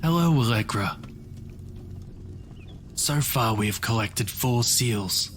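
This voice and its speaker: A man playing a courteous, self-assured magical wanderer who collects stories, speaking in a measured, formal way in an audio drama. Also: mid-range voice, expressive, noisy background. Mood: polite, curious.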